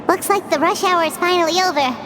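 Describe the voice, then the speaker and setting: A woman voicing a small, excitable fairy companion who talks about herself in the third person. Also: very high voice, noisy background. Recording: game audio with loud music.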